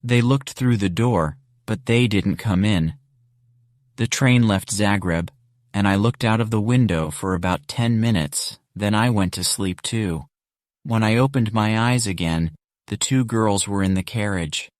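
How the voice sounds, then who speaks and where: A man reading a scripted story for English learners as narrator, measured and clearly enunciated. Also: fairly low pitch, dry recording, studio quality. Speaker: audiobook narrator.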